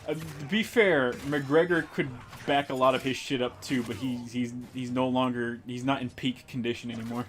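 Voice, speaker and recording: A man in an average register talks through a game on a live stream. His talk is blunt and full of swearing, and it flares into loud, excitable bursts. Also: mid-range voice, normal speed, hardly any pauses. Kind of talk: casual game stream chat.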